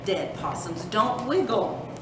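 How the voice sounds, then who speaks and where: A firm, loving mother possum from a storybook , played by a woman reader. She scolds with slow, emphatic, drawn-out words and a swooping pitch. The sound is distant. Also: expressive. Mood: scolding. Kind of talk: children's storybook read aloud. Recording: roomy, distant mic with rumbling noise.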